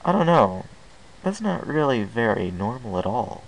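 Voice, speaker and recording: Man playing a casual, unsure young stallion, creaky. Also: mid-range voice, sing-song, normal speed, voice pushed up, low-quality recording. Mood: resigned. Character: restless, self-doubting young male pony. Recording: hissy amateur home recording.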